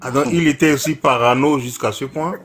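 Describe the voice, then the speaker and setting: A man's voice: mid-range voice, brisk, a bit bright, husky, soft background music. A man taking part in a live discussion, asking questions with hesitant restarts. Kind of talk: casual questions.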